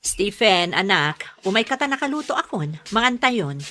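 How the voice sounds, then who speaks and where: A woman's voice: mid-range voice, very animated, moderate pace, sharp tone. A woman with a Filipino Ilocano accent playing a patient, long-suffering middle-aged mother in a radio serial, pleading and soothing. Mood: caring. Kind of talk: radio serial drama acting.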